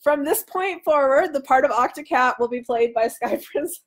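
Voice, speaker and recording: A woman's voice: sits high, moderate pace. A woman in her thirties hosting a live book reading with casual chat, her voice nasal from a cold. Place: small room at home, indoors. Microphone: webcam at arm's length.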